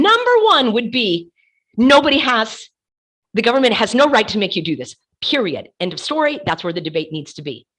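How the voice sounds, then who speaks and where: A woman hosting an online commentary show, hoarse from laryngitis, talking passionately and hammering her points with repeated words. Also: medium pitch, a bit bright. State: losing her voice. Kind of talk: casual commentary while sharing her screen.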